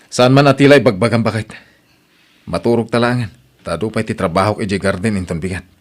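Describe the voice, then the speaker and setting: A man's voice: fairly low pitch, fairly quick, lightly acted. A man playing a weary, defensive young husband in an audio drama. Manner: defensive, tired.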